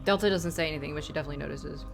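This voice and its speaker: A woman's voice: deep voice, very fast, creaky. A woman playing a tabletop roleplaying game, chatting casually and improvising in character. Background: music.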